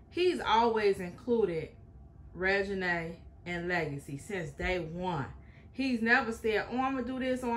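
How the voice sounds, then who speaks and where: A woman's voice: mid-range voice, fairly bright tone, slight background noise. A young woman hosting a reaction video, chatting frankly and opinionated to her viewers, with in her speech. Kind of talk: casual reaction commentary.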